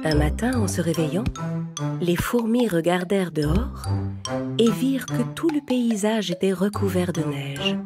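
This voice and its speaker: A woman's voice: mid-range voice, expressive, unhurried. A woman narrating a scripted children's fable in French storybook style. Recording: loud music behind the voice. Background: music.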